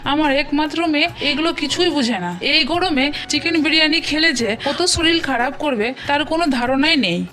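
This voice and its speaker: A woman's voice: high-pitched, a little fast, hardly any pauses, bright, forceful tone. A woman voicing an exasperated, scolding middle-aged mother in a cartoon. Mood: complaining. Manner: scolding, complaining. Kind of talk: animated moral-tale cartoon dialogue. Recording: music behind the voice.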